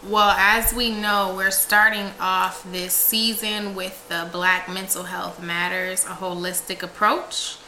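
Very bright-voiced young woman host. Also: mid-range voice, little pitch movement, slow pace, few pauses, reverberant, slight background noise, rough recording. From South Carolina, USA. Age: 20s. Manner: upbeat, encouraging interjections. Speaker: podcast host. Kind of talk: casual podcast chat.

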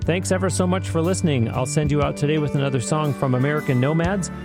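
A man hosting a podcast, reading an outro in an earnest, carefully enunciated, formal way with some gravel in his voice. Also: fairly high pitch, quick pace, over music. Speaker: podcast host. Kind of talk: scripted podcast outro narration.

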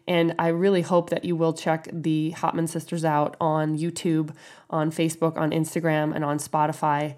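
Woman podcast host, husky with a head cold, earnest, lowish voice. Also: completely flat delivery, normal speed, a little mellow. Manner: earnest, encouraging. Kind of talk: podcast intro and interview.

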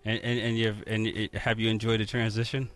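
A man with a fairly low voice hosts a relaxed interview, tripping over his words with repeated "and, and, and" before landing a question. He laughs easily between his thoughts. Faint music plays behind him. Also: brisk. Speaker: host. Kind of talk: casual interview chat.

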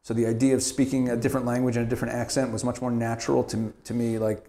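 A man's voice: medium pitch, little pitch movement, dark tone. A middle-aged man from Montreal, a rabbi, answering interview questions casually, with many restarts and fillers. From Canada (Montreal). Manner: halting, many restarts and "like", laughs easily. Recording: echoey room.

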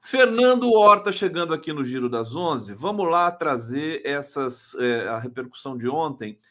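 A male host with a fairly high voice leads a live talk show in a playful, bantering way. He stops on fillers before getting to his point. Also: animated, moderate pace, sharp tone. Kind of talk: casual live show hosting.

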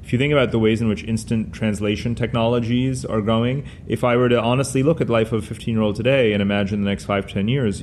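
Middle-aged guest, Hindi native speaking English, lowish voice, rambling and reflective, faint hiss. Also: male voice, a little mellow. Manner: discursive, frequent "you know" fillers. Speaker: guest author. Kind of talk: conversational interview answers.

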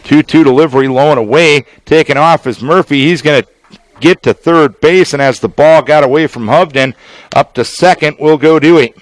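An American man works as a radio baseball announcer. His voice sits a little high for a man, and he delivers live play-by-play in measured, descriptive phrases, leaving space between them. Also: no echo, rough recording. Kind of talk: live sports play-by-play commentary.

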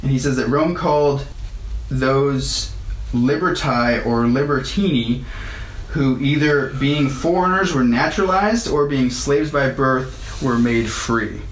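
A man's voice: mid-range voice, slow, a little hiss or hum. A man teaching a Bible lesson as a pastor, explaining verses in a conversational way and lifting his voice when he reads scripture aloud. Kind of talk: sermon teaching through scripture. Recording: echoey room with faint ambience.

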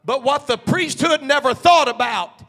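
A male pastor preaching a sermon, swinging from hushed asides to shouted climaxes. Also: very high voice, moderate pace, creaky.

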